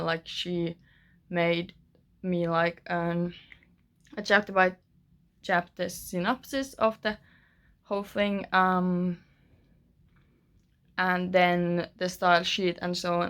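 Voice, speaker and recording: A young woman with a Finnish accent gives a casual writing-vlog update from her bedroom, rambling with many fillers and restarts. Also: fairly low pitch. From Finland. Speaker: author vlogger.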